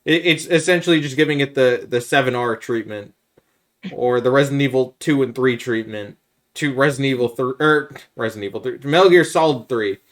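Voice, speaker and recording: A man with a mid-pitched voice talks as a podcast co-host. He is informal and opinionated, chatting casually about games. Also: fairly quick.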